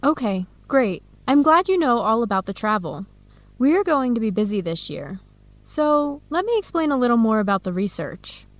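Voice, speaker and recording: Radio drama role: American woman academic, keen and encouraging, fairly high voice, dry studio sound. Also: very animated, moderate pace, no echo. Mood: businesslike, upbeat. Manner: enthusiastic, encouraging. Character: eager, friendly American woman researcher new to Ethiopia. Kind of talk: radio drama acting, clear educational dialogue.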